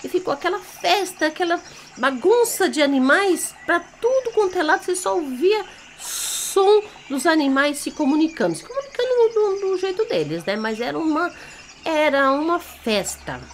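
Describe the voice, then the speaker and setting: A woman's voice: extremely high pitch, big pitch swings, moderate pace, a little hiss or hum. A woman with a Brazilian accent telling a children's story off the cuff, in a theatrical, teacherly way, checking in with young listeners. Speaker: children's storyteller and party entertainer.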